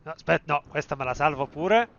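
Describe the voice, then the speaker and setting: Excitable streamer shouting over gameplay. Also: mid-range voice, fast, dull audio, slight background noise. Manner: excitable, exclamatory, swearing. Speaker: streamer playing the game. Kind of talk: casual gameplay commentary.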